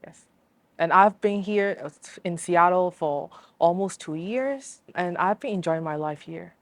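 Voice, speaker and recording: Soft-spoken hesitant woman. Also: fairly low pitch, unhurried, no room sound. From Burma. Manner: soft, hesitant, many fillers. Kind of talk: interview answer.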